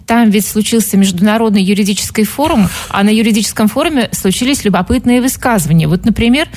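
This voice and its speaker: A woman hosting a radio talk show in a conversational, gently teasing way with probing questions. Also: mid-range voice, fast talker, slight background noise. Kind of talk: conversational on-air presenting.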